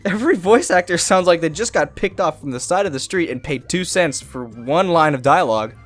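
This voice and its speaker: A man's voice: a little high, animated, a little fast, soft background music. A young man narrating a mocking comedic review of a bad movie. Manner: sarcastic, mocking. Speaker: video reviewer.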